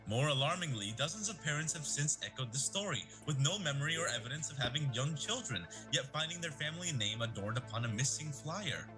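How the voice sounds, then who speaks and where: A man's voice: a little high, lively intonation, fairly quick, hardly any pauses, music in the background, lightly acted, low-quality recording. A man playing a theatrical local morning news anchor in an audio drama. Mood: dramatic. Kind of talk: newscast parody in audio drama.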